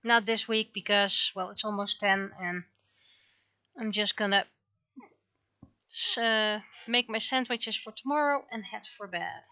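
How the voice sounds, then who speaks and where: A woman's voice: mid-range voice, measured pace, long pauses, bright, forceful tone, clear, resonant tone, no room sound, highs cut off. A woman giving casual livestream commentary as she shows her own creative work. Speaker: livestreamer, webcomic and visual novel creator.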